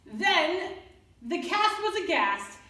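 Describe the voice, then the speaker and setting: A woman reading a children's story aloud expressively and deliberately, pausing to put questions to young listeners. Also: extremely high pitch, very animated, pushed and bright. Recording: roomy echo, distant mic.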